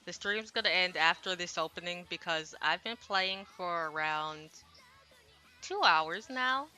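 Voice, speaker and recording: A woman with a very bright, sharp voice set a little low talks casually as a game streamer. She rambles along, putting herself down and apologizing, speaking into a headset over game music. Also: unhurried. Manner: rambling, self-deprecating, apologetic. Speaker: streamer translating a Japanese visual novel. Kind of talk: casual livestream chat.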